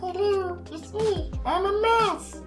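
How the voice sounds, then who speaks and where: A man voicing a tiny mouse with a high, squeaky voice. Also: slow, fairly bright tone, soft background music, exaggerated voice. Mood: cutesy.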